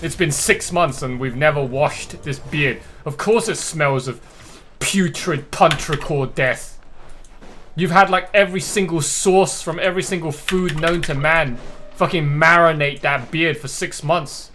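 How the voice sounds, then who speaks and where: A man with a slightly high voice chats casually on a game livestream. He talks bluntly and swears freely. Keyboard typing and clicks sit faintly behind him. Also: a little slow, sharp tone, a little hiss or hum. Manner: profane, blunt. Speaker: streamer playing a video game.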